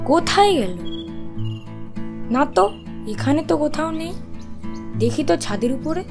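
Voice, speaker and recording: A woman's voice: high voice, lively intonation, normal speed, music in the background. A woman voicing an eager, playful and impatient young boy in a cartoon drama. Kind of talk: Bengali cartoon drama acting.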